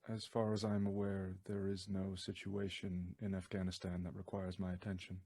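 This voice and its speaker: A man playing a detached, godlike superhuman scientist in a fan-made drama, speaking in a flat, measured, formal way. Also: low voice.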